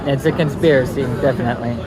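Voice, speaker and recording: A man's voice: medium pitch, fairly even intonation, fast, over a noisy crowd. A man giving casual running commentary into a phone camera while out at an amusement park. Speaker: vlogger at a theme park. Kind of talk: casual chat and walk-through commentary. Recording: outdoor phone recording with music behind.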